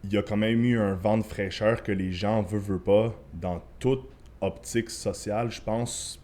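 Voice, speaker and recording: A man's voice: lowish voice, fairly even intonation, normal speed, mellow. A young man with a Quebec French accent, a podcast guest chatting loosely, swearing and mixing in English phrases. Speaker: podcast guest, former bodybuilder and coach. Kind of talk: casual podcast chat.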